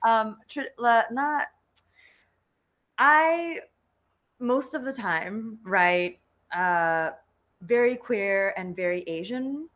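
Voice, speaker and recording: A woman speaking as a panelist in a casual discussion, hesitant and halting as she gathers her thoughts. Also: mid-range voice, a bit bright. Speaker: panelist, writer.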